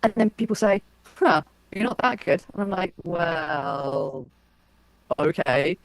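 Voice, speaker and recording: A woman's voice: lowish voice, expressive, takes it slowly, dry recording. A woman, interviewing in a casual, supportive, conversational way.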